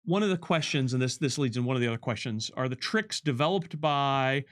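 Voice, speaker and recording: A man's voice: mid-range voice, animated, fairly quick. A man in casual conversation as a game developer, explaining and answering questions, rewording carefully as he thinks aloud. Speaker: game developer giving commentary.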